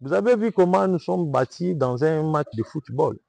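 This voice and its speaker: A man's voice: fairly high pitch, expressive, moderate pace, creaky, a little mellow. A man with a Congolese French accent from Kinshasa, a self-styled prophet answering interview questions in a preaching manner, emphatic and repetitive, often asking "vous comprenez?".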